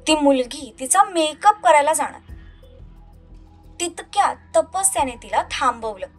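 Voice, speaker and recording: A woman with a high-pitched voice narrates a romantic audio drama. Her delivery is scripted and dramatic. Faint music plays behind her. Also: lively intonation, a little slow.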